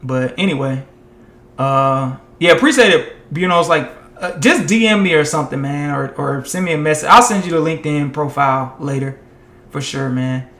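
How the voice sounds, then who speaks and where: A man's voice: fairly high pitch, normal speed, fairly bright tone. Chatty man with a tiring, echoey voice. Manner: loose and chatty, heavy on "like" and "man". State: voice tiring and going hoarse. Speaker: live-streaming tech content creator. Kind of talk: casual live-stream chat.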